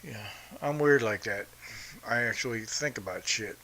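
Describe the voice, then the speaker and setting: A man's voice: fairly low pitch, moderate pace, bright, forceful tone. A man hosting a podcast, from the United States, ranting bluntly and profanely about politics. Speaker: podcast host. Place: home in Brazil.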